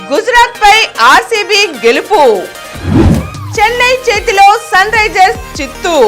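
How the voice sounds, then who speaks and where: A woman's voice: very high-pitched, fairly bright tone. A woman reading scripted news as a bulletin presenter. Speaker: news reader.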